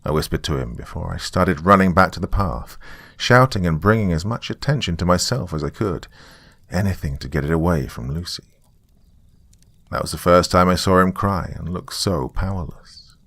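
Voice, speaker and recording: Deep, gravelly male horror narrator. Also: moderate pace, a bit bright, dry recording. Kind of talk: scripted first-person horror narration.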